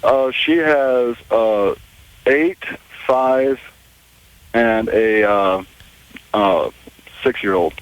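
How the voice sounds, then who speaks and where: Man, twenties, cell phone caller, mid-range pitch, hesitant, conversational. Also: drawn-out words, long pauses, clean tone, muffled recording. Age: 20s. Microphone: cell phone call.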